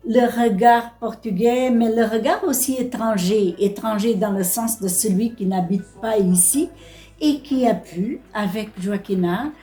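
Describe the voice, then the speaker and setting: A woman's voice: fairly high pitch, a little slow, dark tone, faint music behind. A woman speaking thoughtfully in an interview.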